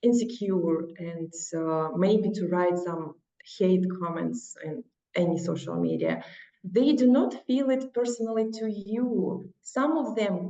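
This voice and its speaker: Woman, Russian accent, mellow low voice, earnest and encouraging, echoey far-off dull recording. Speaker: guest. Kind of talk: casual interview talk. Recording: echoey, distant, dull lo-fi sound.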